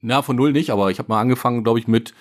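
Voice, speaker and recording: A man's voice: medium pitch, rapid-fire. A man, a startup founder telling his story in a podcast interview, rambling with many fillers.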